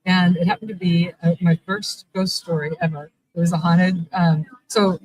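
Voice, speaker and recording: A woman's voice: fairly low pitch, steady tone, normal speed, darkish tone, poor audio. A middle-aged woman, a shop owner, telling a long rambling story at a convention interview. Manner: chatty, rambling, many restarts. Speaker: guest, vampire shop owner. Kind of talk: casual storytelling in a live interview. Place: convention event.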